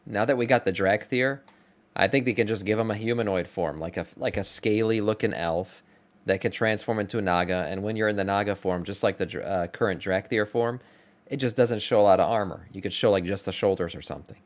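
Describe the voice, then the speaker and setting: A man's voice: on the low side, brisk. A man in his thirties giving off-the-cuff, informal reaction commentary as a streamer, musing aloud. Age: 30s. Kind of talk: casual live reaction commentary.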